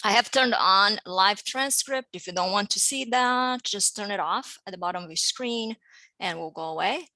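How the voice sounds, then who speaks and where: A woman hosts an online webinar, friendly and steady with plenty of fillers. Her voice is bright and crisp with a creaky edge. She sounds close and dry on a computer mic. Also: medium pitch, normal speed, dry recording, low-quality recording. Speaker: host. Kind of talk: webinar hosting.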